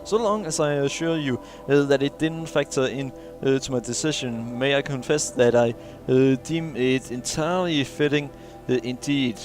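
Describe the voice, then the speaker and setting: A man playing through a video game, reading in-game logs aloud and stumbling over words, with many "uh" fillers and small chuckles. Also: medium pitch. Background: faint game music.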